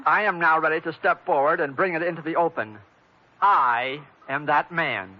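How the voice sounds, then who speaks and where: An old, dull radio recording of an actor playing a meek young male banker. His voice is high for a man. He speaks stiffly and formally, with earnest self-importance and some hesitation. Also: moderate pace, lightly acted. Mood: earnest, self-important. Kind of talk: 1950s radio comedy-drama acting.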